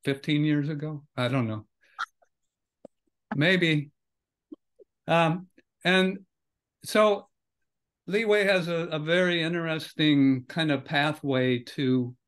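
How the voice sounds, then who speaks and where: An older man hosting a podcast over a video call from a home study, speaking conversationally with loose phrasing and hedges. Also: a little high. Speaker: podcast host. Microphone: video call webcam.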